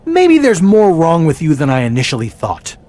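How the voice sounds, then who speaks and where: A man's voice: a little high, huge pitch swings, normal speed, a little mellow. A man playing a snide, unimpressed wrestling trainer in a video game, dryly sarcastic. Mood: sardonic. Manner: dry, sarcastic. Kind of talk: video game cutscene acting.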